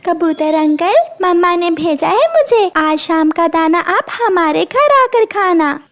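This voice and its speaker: A woman's voice: very high voice, expressive, takes it slowly, pitched above the performer's own voice, heavily acted. A woman voicing a sweet, helpful little girl sparrow in a cartoon, childlike and earnest. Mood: cheerful.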